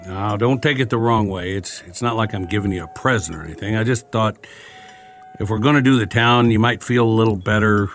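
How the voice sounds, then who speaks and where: An actor playing a chatty, wry American soldier in a radio drama, talking in a folksy, rambling, joking way. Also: male voice, lowish voice, a little fast, soft background music, lightly acted. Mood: coaxing, awkward. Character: chatty, wry, friendly American soldier, lonely war survivor.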